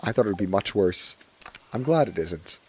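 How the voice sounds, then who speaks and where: Hoarse man chatting over gameplay. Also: fairly low pitch, expressive, normal speed, a little mellow, slight background noise. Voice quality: voice cracking. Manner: chatty, repeats his own phrases. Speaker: gaming streamer. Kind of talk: casual gameplay commentary.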